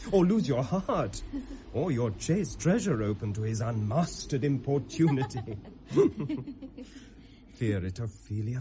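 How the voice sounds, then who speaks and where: A man's voice: mid-range voice, warm tone. A British man playing an earnest young nobleman, a protective brother, with theatrical classic Shakespearean radio delivery. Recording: hissy vintage radio drama recording.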